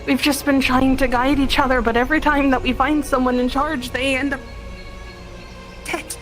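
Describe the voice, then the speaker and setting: A woman playing a frazzled, faint village baker in an online roleplay, hesitant and apologetic, with halting speech. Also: sits high, level tone, a bit bright, low-quality recording. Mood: anxious, overwhelmed. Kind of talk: improvised roleplay acting in a virtual world. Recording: music behind.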